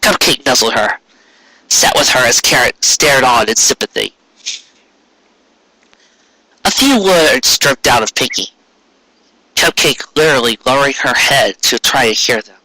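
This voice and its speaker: A man's voice: mid-range voice, lively intonation, moderate pace, pushed and bright, no echo, low-quality recording. A man reading a story aloud in a dramatic narrating style. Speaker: fan fiction reader.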